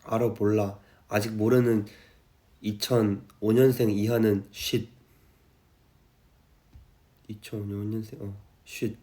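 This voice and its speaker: A young man in his twenties chatting casually and softly on a late-night livestream from home, a little tipsy and drowsy as he reads viewer comments. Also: on the low side, takes it slowly, pauses often. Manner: relaxed, drowsy and soft-spoken, reading viewer comments. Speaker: idol livestreamer. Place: at home, indoors. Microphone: phone livestream.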